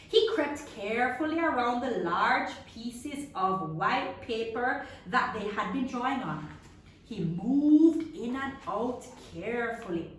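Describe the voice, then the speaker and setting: Echoey, high-pitched woman reading a children's story. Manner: expressive, dramatic, with drawn-out sound effects and questions to listeners. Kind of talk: animated read-aloud storytelling for young children. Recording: very echoey room, distant mic.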